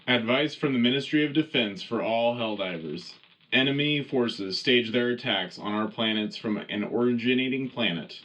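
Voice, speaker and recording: A man's voice: medium pitch, normal speed, fairly bright tone, lightly acted, poor audio. A man playing an earnest, patriotic wartime radio news anchor, speaking formally and dramatically. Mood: earnest, rousing. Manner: formal, dramatic announcer delivery. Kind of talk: scripted in-world news broadcast.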